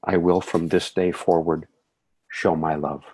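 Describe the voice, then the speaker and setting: Deep, slow, husky male meditation guide. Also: steady tone, a little mellow. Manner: slow, soothing, measured phrasing.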